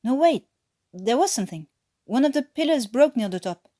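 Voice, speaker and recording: A woman voicing an excitable, indignant French male prisoner of war in a story, with a French accent and emphatic delivery. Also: fairly high pitch, normal speed, pitched above the performer's own voice. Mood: shaken, explaining.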